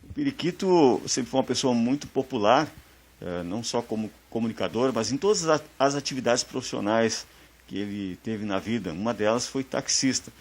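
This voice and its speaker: Um apresentador de sotaque do sul do Brasil, que conduz a entrevista com perguntas pausadas. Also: male voice, mid-range voice, expressive. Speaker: host. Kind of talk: documentary interview.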